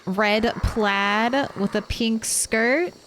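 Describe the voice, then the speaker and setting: Slow-talking woman streamer chatting while gaming. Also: a little high, a bit bright, slight background noise. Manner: chatty, thinks aloud, laughs often. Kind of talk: casual chat while gaming.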